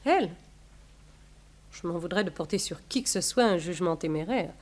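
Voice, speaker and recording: An actress playing a prim secretary in a French radio detective drama, answering questions politely and carefully. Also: female voice, on the low side, lively intonation, normal speed. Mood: discreetly gossipy. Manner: polite, careful, well-spoken. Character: prim, proper female secretary of a murdered businessman.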